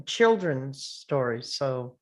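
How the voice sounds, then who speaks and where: A woman's voice: rumbling low, big pitch swings, takes it slowly. A woman speaking hesitantly and in a laid-back way in a casual group discussion.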